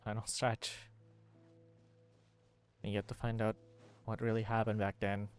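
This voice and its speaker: A man's voice: fairly low pitch, flat delivery, unhurried, smooth, soft background music. A man giving casual live-stream game commentary, musing and thinking aloud.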